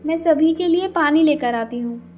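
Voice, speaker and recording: A woman voicing a brave, caring young female bird in a cartoon fable, earnest and deliberate. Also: high voice, dull audio, natural voice. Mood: determined.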